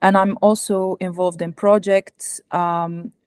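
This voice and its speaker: A young woman whose first language is Algerian Arabic presents research from home over a video call. Her voice sits a bit low and she talks with frequent fillers and restarts. The webcam sound is dry and close. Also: level tone, slow pace, a little mellow, smooth, dry recording. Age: young adult. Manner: hesitant, many fillers and restarts. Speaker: guest speaker presenting research. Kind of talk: academic talk with slides. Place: home room, indoors.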